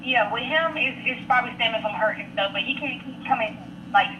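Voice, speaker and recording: A woman's voice: a little high, a little fast, lo-fi sound, a little hiss or hum. A woman chatting casually, giving her opinions in a blunt, slangy way.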